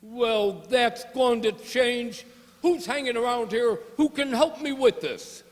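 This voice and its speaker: Man playing a high-pitched, slangy gangster boss. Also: slow. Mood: grumpy, commanding. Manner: old-time gangster slang, blustery. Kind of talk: amateur radio-play acting. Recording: reverberant hall with clear background noise.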